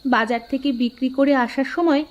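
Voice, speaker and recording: A woman's voice: high voice, a little fast, lightly acted. A woman voicing a practical, firm village wife and mother in a cartoon, homely and assertive. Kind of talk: Bengali cartoon voice acting.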